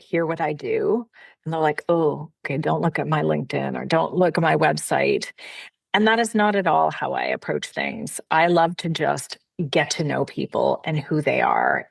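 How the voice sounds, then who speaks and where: A Canadian woman with a low voice and a gravelly edge talks as a podcast guest. She chats in a friendly, easygoing way, fills her thoughts with ums and you-knows, and the sound is dry and close. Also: dry recording. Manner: friendly, chatty, frequent fillers and light laughs. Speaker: guest, personal brand consultant. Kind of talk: casual interview conversation.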